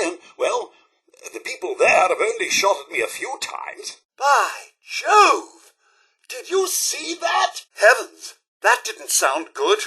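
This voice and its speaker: Posh british robot butler for a game, prim and fussy, bright sharp voice, bit raspy. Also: male voice, high-pitched, exaggerated voice. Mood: eager, earnest, then alarmed, flustered. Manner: posh, proper, theatrical butler diction. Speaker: voice actor. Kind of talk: video game companion dialogue.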